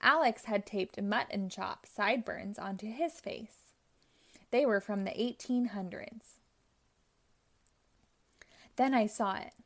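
A woman reading a children's picture book aloud, narrating in a gentle, expressive storytelling manner. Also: medium pitch, takes it slowly, long pauses. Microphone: audio only, close mic.

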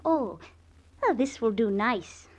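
High-voiced, carefree young woman, old radio. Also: lively intonation, slow, over loud music. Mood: breezy, carefree. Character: carefree young Irish-born woman, fun-loving, poor lodger. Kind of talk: 1950s radio drama acting. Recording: dull old radio recording, faint hiss.

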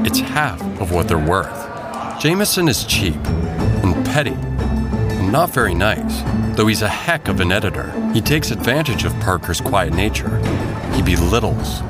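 A man's voice: deep voice, big pitch swings, a little slow, over loud music. A man narrating an audio drama from a script in a dramatic style.

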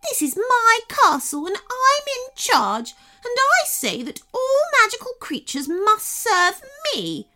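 A shrill young storybook princess, spoiled and bossy. Her very high voice screeches and snaps out orders with wild swoops in pitch, pushed loud and imperious. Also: wildly swooping pitch, slow, exaggerated voice. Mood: indignant shouting. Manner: imperious, snapping.

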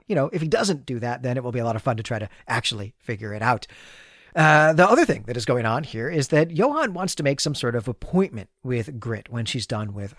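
A man's voice: medium pitch, dry recording, clean studio sound. A man co-hosting a podcast, talking through a story in a conversational recap with chatty asides and tag questions. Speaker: podcast co-host. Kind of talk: conversational literary recap and commentary.

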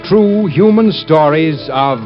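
A man's voice: on the high side, takes it slowly, music in the background. A man with an American accent formally and dramatically introducing an old-time radio drama. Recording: dull old radio transcription recording.

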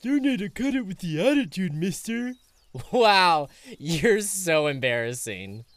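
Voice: medium pitch, sing-song, a little slow, no echo. A performer voicing a sulky, mouthy adolescent boy tree creature, mocking and bratty, in a comic fantasy audio drama. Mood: snarky.

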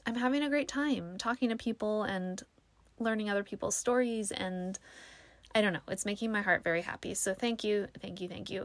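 A young woman with a soothing voice chats through her solo podcast, rambling and self-deprecating with plenty of ums. She sits close to the mic in a small, dead-sounding room. Her pitch sits in an ordinary middle range. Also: no echo. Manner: chatty, self-deprecating, lots of fillers. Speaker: podcast host. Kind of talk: casual solo podcast chat.

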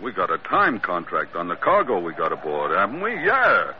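A man's voice: deep voice, wildly swooping pitch, normal speed. An actor playing a scrappy, suspicious American sailor, a captain's sidekick in a radio adventure, talking in slangy tough-guy style. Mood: hesitant. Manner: slangy tough-guy talk, swinging from muttering to barking. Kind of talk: old-time radio adventure drama acting. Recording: muffled, faintly noisy old radio recording.

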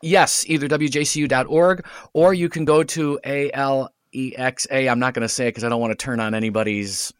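Guy guest on a podcast, middle pitch, casual halting explanations, dry sound. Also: steady tone, smooth, no echo. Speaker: podcast guest, radio play writer. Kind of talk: casual interview chat.

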